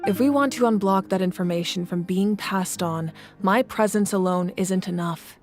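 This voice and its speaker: A woman playing a composed, grave ruler in a video game, speaking in measured, deliberate lines. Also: mid-range voice, lightly acted. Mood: calm, serious. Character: solemn, composed woman war-god ruler of a nation. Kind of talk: video game voice acting, dramatic exposition. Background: game music.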